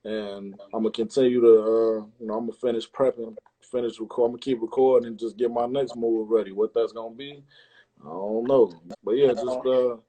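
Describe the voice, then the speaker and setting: A man's voice: medium pitch, steady tone, fairly quick, mellow, rough recording. A man talks casually as a guest in an interview. His speech is loose, slangy and full of swearing, in a mid-range voice. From Chicago, United States. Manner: slangy, profane. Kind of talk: casual chat.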